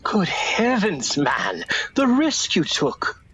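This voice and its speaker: A startled, blustering gentleman acted by a man in a radio play. He draws out his breathless, incredulous exclamations with extreme pitch swings. There is faint noise behind the voice. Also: huge pitch swings, slow pace, muffled recording, heavily acted. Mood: shocked.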